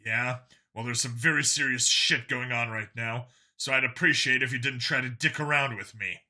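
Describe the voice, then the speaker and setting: A man's voice: medium pitch, moderate pace, sharp tone. A man voicing a blunt, sardonic human policeman stranded among aliens in a science fiction audiobook, insistent and plain-spoken. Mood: wary, irritated.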